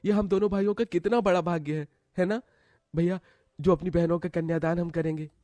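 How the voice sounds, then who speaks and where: A man's voice: sits high, steady tone, a little fast, warm tone, no room sound, clean studio sound, lightly acted. A man voicing a self-sacrificing, protective young brother from a poor family in a cartoon story, earnest and emotional.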